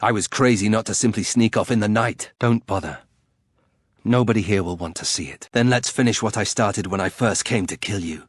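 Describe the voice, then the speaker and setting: Brooding, low-voiced fantasy nobleman, dry. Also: fairly quick, slightly rough, no echo. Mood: sardonic, defiant. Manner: dry, sardonic, clipped. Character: brooding, bitter young nobleman turned warrior, proud and sardonic. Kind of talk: fantasy video game voice acting.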